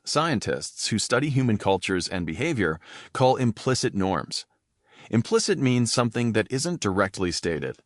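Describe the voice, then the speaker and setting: A man's voice: fairly low pitch, no echo, studio quality. A man hosting an educational podcast, narrating from a script and stopping to define vocabulary words in plain terms. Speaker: podcast host.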